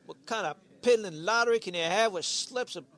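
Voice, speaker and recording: A man's voice: high voice, fairly quick, fairly bright tone, no room sound. A man playing a cranky, stubborn old villager in a radio play, querulous and folksy. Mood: scornful. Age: seventies or older.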